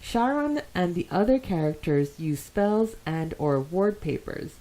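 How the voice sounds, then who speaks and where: A woman with a fairly low voice co-hosts a fan podcast. She talks informally, moving between reading listener comments and reacting to them in loose, conversational asides. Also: expressive, a little slow, dark tone, low-quality recording. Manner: informal and conversational, reacting aloud to what is read. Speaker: podcast co-host.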